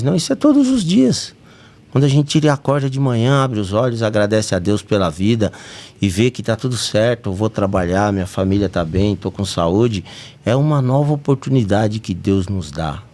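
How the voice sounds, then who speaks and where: A Brazilian man with a lowish voice talks earnestly as the host of a spiritist study podcast. His Brazilian Portuguese accent comes through, and he climbs now and then to emphatic peaks. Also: darkish tone.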